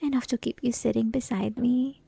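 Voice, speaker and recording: Woman acting a soft, high-voiced clingy yandere schoolgirl. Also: fairly even intonation, gravelly, slightly rough, heavily acted. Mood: sheepish confessing. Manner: coy, teasing, pleading, halting, often dropping to whispers. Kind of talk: ASMR roleplay, intimate scripted monologue.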